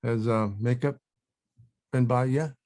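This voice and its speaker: A man plays a laid-back charmer with folksy manners and some menace under them. His pitch is average for a man. It is delivered as a table read. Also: steady tone, a little slow, darkish tone, natural voice. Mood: guarded.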